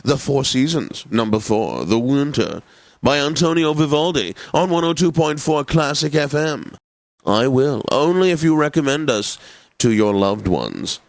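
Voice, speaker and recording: A pompous, genteel classical station host from a satirical video game. His medium-pitched voice savors each phrase with theatrical, drawn-out announcing, and the sound is dry and close. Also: sing-song, dry recording. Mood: obliging, grandiose. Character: pompous, genteel male classical radio DJ, faintly lecherous. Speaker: classical radio DJ. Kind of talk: scripted classical radio announcing, game parody.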